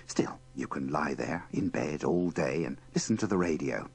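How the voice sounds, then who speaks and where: A man's voice: a bass voice, lively intonation, normal speed, vocal fry, slightly rough. A British man hosting a comic compilation, reading scripted links in a dry, wry manner. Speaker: host of a radio bloopers compilation.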